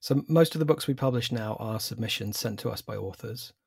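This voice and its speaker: A man in his forties with an English accent, a publisher answering interview questions in a measured, thoughtful way with some fillers. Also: on the low side, gravelly, a little mellow, slightly rough, no room sound, clean studio sound. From England. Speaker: publisher, guest.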